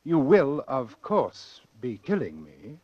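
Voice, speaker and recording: Formal, calculating doctor, medium pitch. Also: male voice, wildly swooping pitch, dull audio. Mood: insistent. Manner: formal, deliberate, precise. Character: cold, courteous, calculating educated doctor narrating his report. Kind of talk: 1940s radio acting with dramatic narration. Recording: vintage 1940s radio broadcast.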